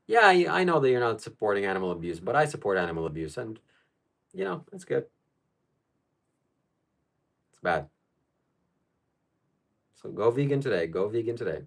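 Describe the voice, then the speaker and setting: A man's voice: on the low side, very animated, normal speed, creaky, long pauses. Blunt, opinionated man from Ukraine. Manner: blunt, opinionated, answers chat questions aloud. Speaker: live stream host. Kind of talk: casual live chat reading viewer questions.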